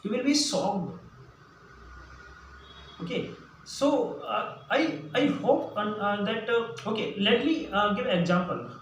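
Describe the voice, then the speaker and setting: A man's voice: sits high, expressive, slow pace, long pauses. A man from central India with an Indian accent, a Hindi native speaker, teaching a spoken English lesson with many fillers and restarts. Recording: echoey room, distant mic, faint noise.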